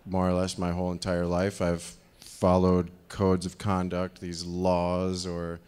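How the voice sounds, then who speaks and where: A man in his thirties with a deep voice, giving a rambling live talk on a dark stage into a handheld microphone, full of fillers. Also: completely flat delivery, measured pace, clean tone. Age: 30s. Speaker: speaker.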